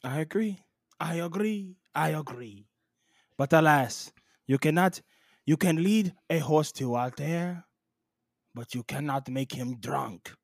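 A man's voice: on the high side, slow pace, no echo, clean studio sound. A man voicing a pompous, aggrieved French basketball star with a French accent, dropping French words in theatrically. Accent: French, put on. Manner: theatrical, self-satisfied, peppers speech with French words.

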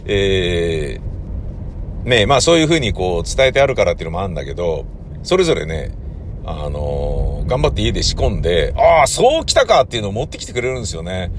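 Low-voiced man musing over constant rumble. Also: very animated, brisk. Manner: rambling, thinking aloud. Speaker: radio drama writer and director. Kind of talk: casual solo talk.

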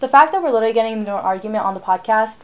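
Teen girl cohosting a podcast, kinda high voice, rambly and giggly. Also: racing, over a noisy crowd. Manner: animated, laughing, rambling. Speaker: podcast co-host. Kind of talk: casual chat.

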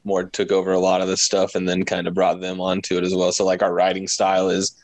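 Low-voiced young American man, dry close sound. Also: completely flat delivery, quick pace, dry recording. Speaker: podcast guest, podcast founder. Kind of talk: casual chat.

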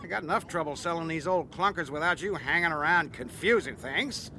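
An irritable, folksy junk-lot dealer. His highish voice rises and falls in exasperation, with clear ambient noise behind it. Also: animated, brisk, pushed and bright, heavily acted. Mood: annoyed. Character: grumpy, irritable male used-droid dealer.

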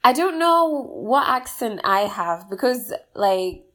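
A woman's voice: medium pitch, sing-song, slow, a bit bright. A woman from Zimbabwe with a Mancunian accent, chatting casually and animatedly with friends, laughing often. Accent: Mancunian English. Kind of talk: casual chat.

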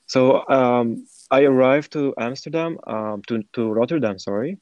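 A man, telling his story in a halting, reflective way as a podcast guest. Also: mid-range voice, darkish tone, dry recording, muffled recording. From Syria. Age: 20s. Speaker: podcast guest, former startup program participant. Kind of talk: conversational personal storytelling in an interview.

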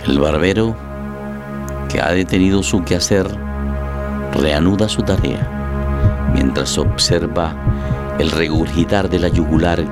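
Casting: male storyteller, very low voice, literary audio drama narration over prominent music. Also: a little slow, long pauses.

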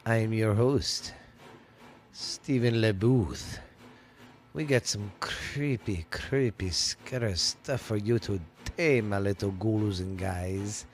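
A man's voice: slow, faint music behind. A man hosting a podcast in a casual, rambling way, his voice stuffy and congested from a sinus cold. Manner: rambling, drops to low spooky whispers. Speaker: podcast host.

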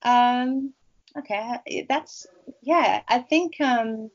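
A woman author from Peru, a Spanish native speaker, talking in a rambling, warm way with many restarts in a casual interview. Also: on the high side, extremely slow, muffled recording. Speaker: author guest.

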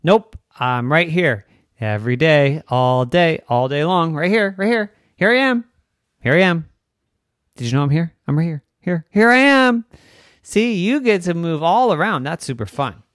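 A storyteller voicing a chatty, know-it-all talking kelp plant in an improvised bedtime story, talking fast and bouncy with a teasing repetitive patter. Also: mid-range voice, animated, no echo, clean studio sound, pitched above the performer's own voice, close to an everyday voice.

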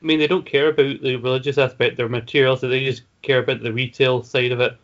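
A man's voice: mid-range voice, fairly even intonation, fast. A man with a Glasgow Scottish accent co-hosting a casual podcast chat, speaking in a dry, hesitant way. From Glasgow, Scotland. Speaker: podcast co-host. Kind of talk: casual banter. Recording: dull lo-fi sound.

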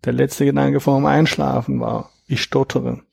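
A man in his thirties, a guest in an interview, explaining at length in a reflective, measured way with a gravelly, husky voice. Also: medium pitch, level tone, darkish tone, no echo. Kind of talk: conversational interview.

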